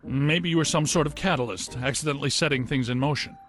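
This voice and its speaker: A man voicing a literal-minded, brainy scientist in a video game cutscene, deadpan and clinically precise. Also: on the high side, fast talker, soft background music, natural voice.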